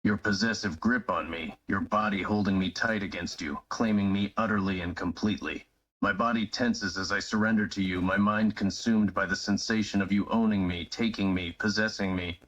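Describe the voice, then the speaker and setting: A man voicing a devoted young lover who gives himself up completely, speaking low in slow, breathy, dramatic declarations. The sound is dull and lo-fi, like stream audio. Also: fairly even intonation, fairly bright tone. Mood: yielding. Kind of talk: live-streamed romantic roleplay reading.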